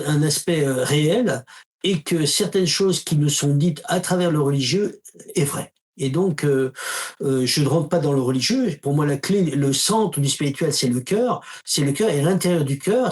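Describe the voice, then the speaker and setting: A man's voice: fairly high pitch, a little mellow. A man giving an interview as a spiritual teacher, talking casually and telling stories with emphatic asides. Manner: storytelling, acts out quoted dialogue with emphatic asides; uses informal tu to the listener.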